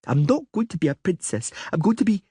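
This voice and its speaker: A headstrong little princess, played by a man reading an audiobook, sits high and sings her complaints all over the place. Her voice is husky and a little whiny, bossy one moment and sulky the next. The sound is close and dry. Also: sing-song, warm tone, no echo, heavily acted. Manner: petulant, demanding, quick to sulk. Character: headstrong, sniffly young princess girl. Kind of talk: audiobook character voice.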